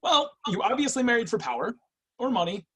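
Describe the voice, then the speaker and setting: An actor playing a guarded, clinical agent with a cool, probing, businesslike manner in an online screenplay reading. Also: male voice, very high voice, sing-song, a little fast, fairly bright tone, breathy edge, exaggerated voice. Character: guarded, clinical intake agent, weary but obedient man. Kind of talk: online screenplay table read.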